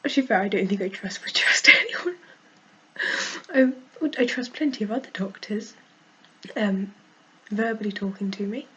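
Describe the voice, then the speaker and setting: A woman with a cold and a croaky voice, frankly venting in a casual vlog and laughing often. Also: mid-range voice, moderate pace, sharp tone, poor audio. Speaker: vlogger.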